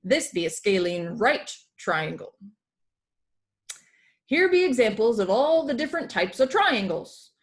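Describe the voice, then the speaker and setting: A woman's voice: a little high, sing-song, measured pace, long pauses. A woman voicing a hearty old male walrus captain who teaches in pirate phrasing, from a children's comic book. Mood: hearty, instructive.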